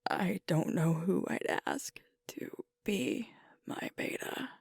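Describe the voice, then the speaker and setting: A woman playing a sweet, vulnerable alpha werewolf girlfriend in an intimate ASMR roleplay, speaking softly and hesitantly as she grieves. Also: fairly low pitch, dry recording, clean studio sound, heavily acted. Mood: hurting, self-reproachful. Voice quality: soft, breathy, often whispered. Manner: slow, hesitant, confiding, with pauses.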